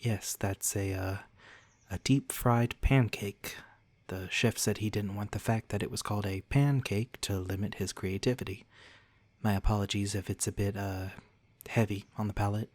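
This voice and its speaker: A man playing a courteous, protective centuries-old vampire lord in an ASMR roleplay, speaking formally and gently to the listener with polite apology. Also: on the low side, steady tone, moderate pace, no echo, lightly acted. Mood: polite, attentive.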